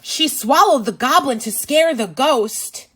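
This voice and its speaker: A woman's voice: sits high, animated, unhurried, fairly bright tone. A woman reading a storybook aloud to young children as a teacher and host, with exaggerated expression and asides to the listener.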